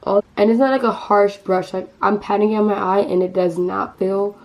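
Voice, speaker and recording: A teenage girl with a hoarse voice from losing it, chatting casually through a makeup tutorial with many fillers and restarts. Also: mid-range voice, steady tone, normal speed, darkish tone, low-quality recording. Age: teens. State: hoarse, lost voice. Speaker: beauty vlogger.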